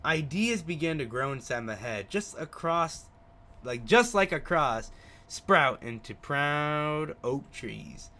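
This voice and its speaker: A man's voice: a little high, lively intonation, slow, sharp tone. A man hosting a gaming video, reading a visual novel aloud with casual asides, often stumbling over words and retrying pronunciations.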